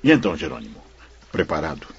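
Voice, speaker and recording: Radio-play priest male actor, humble, genial, formal; husky voice with hugely animated intonation. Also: rumbling low, fast, exaggerated voice. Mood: amused, kindly. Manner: courteous, good-humoured, formal. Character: Father Miguel, humble good-humoured priest and spirit doctor. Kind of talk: audio drama acting. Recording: dull, muffled lo-fi audio.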